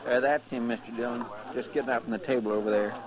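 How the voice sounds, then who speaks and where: An actor playing a folksy, anxious, loyal sidekick with a rural American accent in an old radio Western, plain-spoken and deferential. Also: male voice, medium pitch, steady tone, quick pace, highs cut off, noisy background, lightly acted. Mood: nervous. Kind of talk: 1950s Western radio drama acting.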